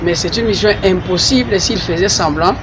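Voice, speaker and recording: A man's voice: sits high, moderate pace, background noise. A man giving a pleased, emphatic interview answer outdoors. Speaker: conference participant. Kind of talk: street interview.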